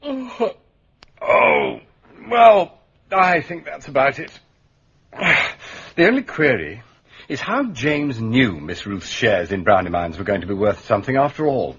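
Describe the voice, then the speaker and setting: An actor playing an urbane gentleman detective with an educated English accent in a radio drama, musing and dryly understated. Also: mid-range voice, wildly swooping pitch, slow pace, slightly rough, exaggerated voice. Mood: explaining, thoughtful. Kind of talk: British radio drama acting. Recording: dull, muffled radio recording.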